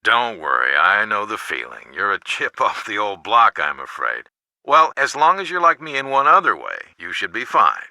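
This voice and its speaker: An actor playing a caring, cautious middle-aged father in a video game, with a measured, advisory manner. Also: on the low side, sing-song, sharp tone, dry recording, exaggerated voice. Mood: fatherly, wry. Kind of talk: scripted game voice acting.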